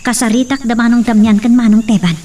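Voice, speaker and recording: A woman's voice: a little high, level tone, quick pace, mellow, distant mic, natural voice. A woman playing an anxious but determined young daughter in an Ilocano drama, with a Filipino Ilocano accent. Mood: worried, urgent. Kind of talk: Ilocano radio-style drama acting.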